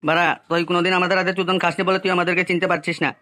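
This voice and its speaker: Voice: medium pitch, flat delivery, racing, pushed and bright, a little hiss or hum, natural voice. A man voicing a brash, foul-mouthed young troublemaker in a comic cartoon, cocky and coarse. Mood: cocky, threatening.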